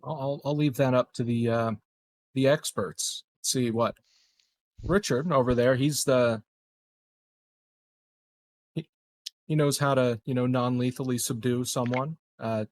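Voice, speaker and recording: A man playing a tabletop roleplaying game, chatting casually and repeating words haltingly as he plans. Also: medium pitch, fairly even intonation, a little mellow. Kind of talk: casual in-character game chat.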